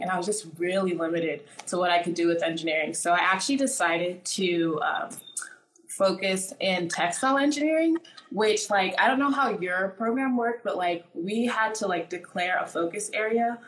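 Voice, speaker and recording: A woman's voice: mid-range voice, moderate pace, fairly bright tone, reverberant, poor audio. A woman in her twenties, born in Nigeria and raised in the US, talking casually as an interview guest, with lots of "like" fillers. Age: 20s. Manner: chatty, many "like" fillers.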